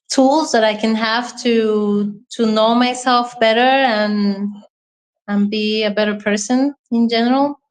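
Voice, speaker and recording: Slow woman. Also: fairly high pitch, poor audio. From Venezuela. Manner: slow, careful introduction. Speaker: workshop participant. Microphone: video call.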